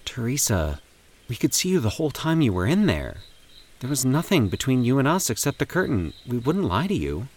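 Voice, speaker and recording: A man's voice: medium pitch, very animated, a little fast, pitched above the performer's own voice, lightly acted. A man voicing a concerned adult man who consoles and puzzles over strange events. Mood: consoling. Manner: consoling, wondering.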